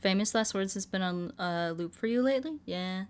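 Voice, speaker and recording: A woman's voice: mid-range voice, moderate pace, smooth. A woman streamer in casual livestream chat with her audience, playful and rambling, often repeating her phrases in a singsong way. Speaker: streamer singing requests from chat.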